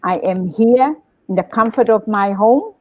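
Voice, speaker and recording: A woman guest on an online talk, explaining her reasons in a steady way. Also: medium pitch, a little slow, dry recording. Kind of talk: casual chat. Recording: dull dry sound.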